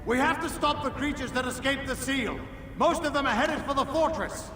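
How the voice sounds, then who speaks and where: Game prince-commander, urgent and protective, calling out very high through echo. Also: male voice, normal speed, sharp tone, slight background noise. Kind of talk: video game voice acting. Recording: echoey, music behind.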